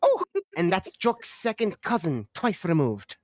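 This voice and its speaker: A woman's voice: lowish voice, wildly swooping pitch, measured pace, darkish tone, no echo, studio quality, exaggerated voice. A woman playing a dotty, bossy elderly former actress in a screenplay table read, theatrical and scolding. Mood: fondly reminiscing.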